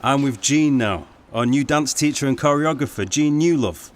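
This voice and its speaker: An actor playing a brash, blunt theatre performer in a radio drama. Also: male voice, mid-range voice, normal speed, natural voice. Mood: genial. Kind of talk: radio play acting.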